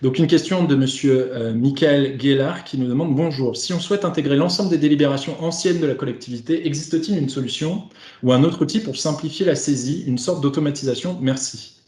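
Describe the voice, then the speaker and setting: A man's voice: a little high, fairly quick, darkish tone. Male webinar host, wordy explanatory delivery with hesitations, roomy echoing call sound. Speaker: host and facilitator of an online webinar. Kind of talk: semi-formal webinar moderation. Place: remote video conference.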